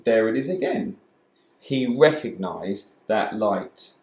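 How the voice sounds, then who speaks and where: A man reading a children's story aloud as a storyteller, with animated, dramatic emphasis. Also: lowish voice, slow pace. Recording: echoey room.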